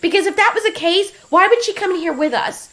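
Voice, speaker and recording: A woman's voice: extremely high pitch, fast talker, voice pushed up. A woman voicing a bold, protective female moth monster in an audio drama. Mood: scolding. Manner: sharp, scolding.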